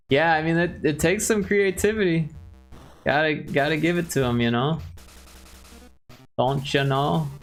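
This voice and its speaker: A man's voice: on the high side, unhurried, long pauses, faint music behind. Jokey Chicago-accented man streaming. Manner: joking, chuckling, chatty with chat. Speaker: livestream host. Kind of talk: casual livestream chat.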